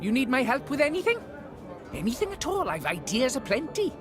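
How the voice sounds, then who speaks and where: A man playing an eager, chatty, well-travelled eccentric in a fantasy video game, rambling excitably. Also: extremely high pitch, brisk, music in the background, heavily acted. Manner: excitable, rambling, swooping delivery.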